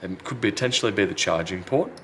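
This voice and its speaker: A man's voice: on the low side, lively intonation, fast, fairly bright tone, slight background noise. A man talking casually and thinking aloud as he presents a hands-on repair tutorial. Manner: unscripted, thinking aloud while working. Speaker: repair technician, video host. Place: indoors at a repair workbench.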